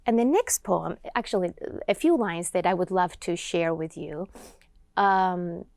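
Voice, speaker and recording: A woman with a Georgian accent, a poet and writer being interviewed in a TV studio, speaking in a reflective, earnest, unhurried way. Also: lowish voice, creaky, darkish tone, dry recording.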